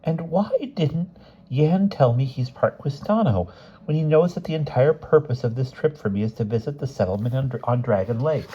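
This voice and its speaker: An eager female scholar and doctor in an audiobook novel, voiced by a man. The voice is pitched high and speaks with keen emphasis. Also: lively intonation, normal speed, warm tone, slightly rough, lo-fi sound. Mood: indignant.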